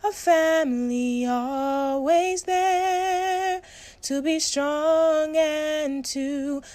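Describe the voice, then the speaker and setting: Woman singing a church hymn, really high voice, very slow, music behind her. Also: darkish tone. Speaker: singer. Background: instrumental music.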